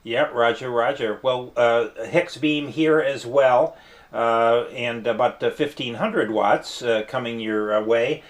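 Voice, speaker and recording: A man's voice: medium pitch, slow, slight background noise. A man working as a ham radio operator, chatting in a friendly, enthusiastic way and using many fillers as he talks. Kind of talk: radio contact exchange. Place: home radio station, early morning.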